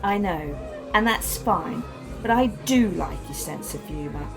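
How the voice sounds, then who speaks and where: An actress playing a reflective, haunted wife in a radio play, with a measured way of speaking. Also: female voice, on the low side, expressive, gravelly, soft background music. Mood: exasperated. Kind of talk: radio play acting with letter-like narration.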